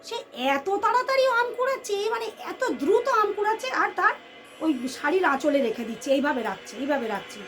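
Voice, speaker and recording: High-voiced woman storyteller. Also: normal speed. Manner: expressive, addresses listeners as friends, repeats phrases for suspense. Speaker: storyteller, channel host. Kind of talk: dramatic oral ghost storytelling to listeners. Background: background music.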